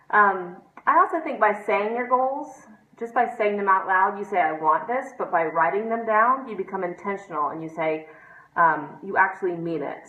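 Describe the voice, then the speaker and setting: A congested, stuffy-sounding woman talks newcomers through a lesson in a relaxed, encouraging way, with lots of ums. Her voice sits a little low. The sound is dull and lo-fi, with a roomy echo. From United States. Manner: chatty, many ums, encouraging. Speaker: team trainer. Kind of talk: informal training talk to camera. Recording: echoey room, dull lo-fi sound.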